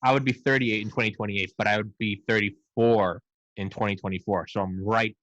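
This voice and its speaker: A man's voice: lowish voice, fairly even intonation, fairly quick, a bit bright, dry recording, muffled recording. A man in his thirties chatting casually, rambling and swearing while he jokes. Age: 30s. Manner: profane, rambling, joking. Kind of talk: casual podcast banter.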